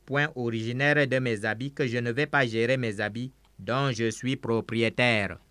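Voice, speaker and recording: A man's voice: mid-range voice, fairly even intonation, normal speed, pushed and bright, dry recording. A man preaching a sermon through an interpreter, emphatic, saying each phrase several times over.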